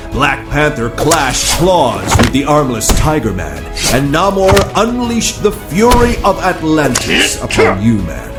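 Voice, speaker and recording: A man narrates an audio drama in a dramatic scripted style. His medium-pitched voice is very animated, and loud music runs behind it.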